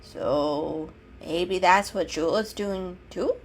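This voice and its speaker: A woman's voice: fairly low pitch, slow. A woman voicing a friendly, frank young male robin with a chirpy, emphatic delivery in a dramatic storybook reading. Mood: concerned. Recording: music behind the reading.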